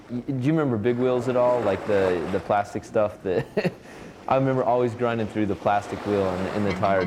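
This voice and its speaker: A man talking casually in an interview, repeating words as he reminisces. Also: mid-range voice. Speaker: BMX rider being interviewed. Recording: music behind the voice.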